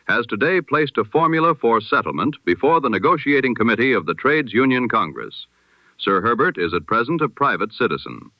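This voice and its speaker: A man with a lowish voice delivers the evening news in a measured, formal cadence, like an old-time radio announcer. His pitch rises and falls a lot as he stresses each phrase. The sound is dull and dry, with no room around it. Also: no echo.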